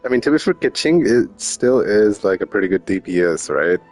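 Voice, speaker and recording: A man's voice: fairly low pitch, lively intonation, moderate pace, a little mellow. A man streaming a game with casual, rambling, slangy commentary. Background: faint game music.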